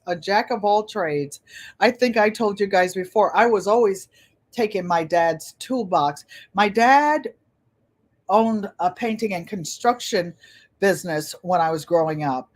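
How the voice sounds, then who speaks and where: A woman in her seventies talks on her own livestream in a gentle, pleasant voice. She rambles cheerfully and says many phrases twice. Her pitch sits in the middle for a woman. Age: 70s. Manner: chatty, rambling, repeats phrases. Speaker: channel host. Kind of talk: casual livestream chat.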